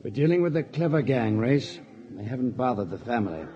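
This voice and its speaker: A man playing a measured, matter-of-fact insurance executive in a 1940s radio drama. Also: medium pitch, sing-song, lightly acted. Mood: serious. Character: businesslike male insurance company vice president. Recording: muffled old radio, crowd noise behind.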